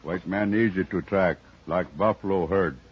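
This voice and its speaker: Raspy, low-voiced stoic tracker. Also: male voice, moderate pace. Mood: grave, matter-of-fact. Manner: clipped broken English, speaks of himself by name. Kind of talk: 1950s radio western acting. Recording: muffled old radio recording.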